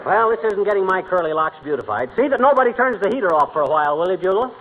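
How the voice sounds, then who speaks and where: High male voice, American accent, animated radio sitcom husband, blustering, indignant know-it-all, noisy vintage recording. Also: rapid-fire. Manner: indignant, pompous, mangles big words. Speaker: lead comedy actor in a radio sitcom. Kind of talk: 1940s radio comedy acting. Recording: muffled, noisy old radio broadcast.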